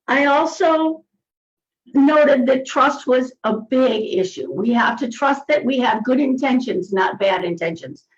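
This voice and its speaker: A woman's voice: sits high, measured pace, highs cut off. A woman moderating a panel discussion, speaking in an encouraging way.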